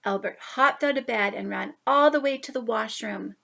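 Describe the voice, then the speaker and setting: A woman reading a picture book aloud to young children, expressive, with drawn-out emphasis on key words. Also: a little high, gravelly. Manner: animated, drawn-out emphasis, asides to listeners. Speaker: children's story reader.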